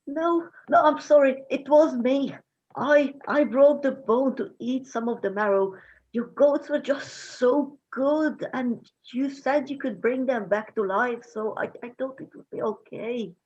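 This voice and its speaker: Woman playing a husky, impulsive farm boy. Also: high-pitched, measured pace, darkish tone, lo-fi sound. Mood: frightened, apologetic. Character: cheeky, hapless farm boy, eager and impulsive. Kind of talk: amateur dramatic reading of a myth play.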